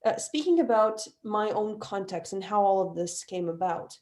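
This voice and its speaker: A woman in her twenties from Bosnia and Herzegovina speaks as a panelist. Her voice sits at an average pitch. She talks earnestly and fluently, with a few fillers along the way. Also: normal speed, a little mellow. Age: 20s. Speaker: panelist, facilitator. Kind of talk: online panel talk.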